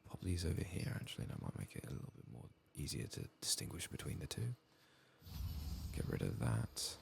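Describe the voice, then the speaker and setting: A man doing soft-spoken, whispered ASMR game commentary in a hushed, breathy, gravelly voice, murmuring without hurry. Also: a bass voice, huge pitch swings, brisk, slight background noise. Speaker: ASMR game playthrough host.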